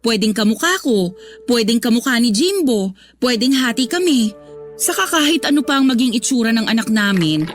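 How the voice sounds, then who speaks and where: A woman acting a young Filipino daughter-in-law in a radio drama, with a fairly high voice. She is respectful and patient yet stands her ground. Music sits faintly behind her. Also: lively intonation, normal speed, close to an everyday voice. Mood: protesting. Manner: respectful, gently protesting. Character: polite young pregnant woman, patient but standing firm. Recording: music under the voice.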